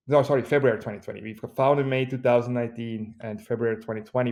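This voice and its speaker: A man with a Swiss accent, a company CEO speaking as a guest in a podcast interview, with an energetic, joking pitchman delivery. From Switzerland.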